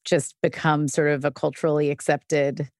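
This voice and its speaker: A woman's voice: deep voice, flat delivery, moderate pace, a little mellow, no echo, studio quality. A woman hosting an interview podcast, asking questions and commenting in a casual, chatty way. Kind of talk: conversational interview.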